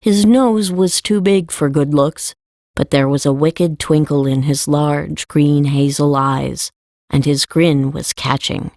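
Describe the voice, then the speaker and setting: A female narrator tells a fantasy story from a script. Her voice sits on the low side, and the recording is close and dry, as in an audiobook. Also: fairly even intonation, takes it slowly, a little mellow, no echo.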